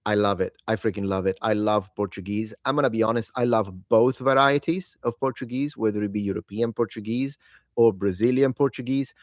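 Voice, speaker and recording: A man's voice: fairly low pitch, fairly quick, no echo, studio quality. A tired man whose native language is Italian, chatting casually and enthusiastically about the languages he wants to learn, often rambling and correcting himself. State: tired, late at night. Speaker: language-learning video host. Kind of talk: casual solo talk to camera.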